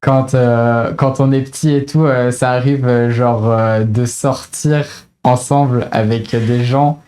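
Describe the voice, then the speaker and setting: A woman's voice: very deep voice, steady tone, slow pace, dark tone. A woman in her twenties from France, talking casually as an interview guest, hesitant with many fillers and youthful slang. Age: 20s.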